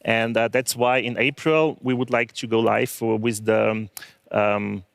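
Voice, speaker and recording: A man's voice: lowish voice, flat delivery, a little slow, gravelly, no room sound. A man answering as a company guest on a panel, speaking as an in a hesitant, explanatory way.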